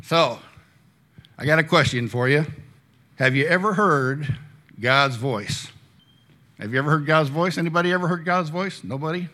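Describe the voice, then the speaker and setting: A man with a slightly high, bright, forceful voice teaches a church class. He reads with slow, dramatic pauses, then turns to the audience and peppers them with questions. He speaks into a handheld microphone. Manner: asks the audience questions, admits he has a loud voice. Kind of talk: sermon with dramatic reading.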